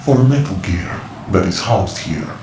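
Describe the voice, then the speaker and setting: An actor playing a mysterious, cryptic male informant in a video game cutscene, speaking in a measured, lecturing way. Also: low-pitched, lively intonation, background noise. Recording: roomy game mix with loud music.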